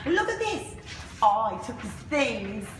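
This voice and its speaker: Brazen female housekeeper, high, drawling, spiteful radio-play voice, echoey room. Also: very animated, takes it slowly. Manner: defiant, cackling. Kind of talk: radio drama acting. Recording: echoey room with faint background noise.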